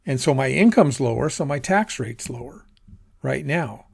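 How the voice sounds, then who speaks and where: A man's voice: mid-range voice, animated, normal speed, gravelly, breathy edge. A man giving an informal lecture on personal finance, talking in a rambling, conversational way and correcting himself as he goes. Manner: conversational, rambling, self-correcting, acts out quoted asides. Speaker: teacher.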